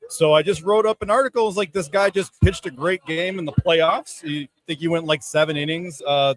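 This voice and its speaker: A man in his thirties telling a casual story as a podcast panelist, halting and rambling. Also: fairly high pitch, steady tone. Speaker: sports writer and podcast panelist.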